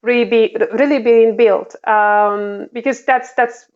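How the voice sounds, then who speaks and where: A woman's voice: a little high, steady tone, slow, muffled recording. A woman diplomat with a Polish accent answering interview questions, with many fillers and restarts. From Poland. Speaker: diplomat guest.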